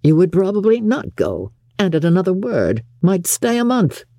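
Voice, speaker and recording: Woman playing a proud, reserved gentleman, low and mellow. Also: big pitch swings, vocal fry, no room sound, clean studio sound. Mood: stern, critical. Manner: formal, measured, curt. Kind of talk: audiobook character acting.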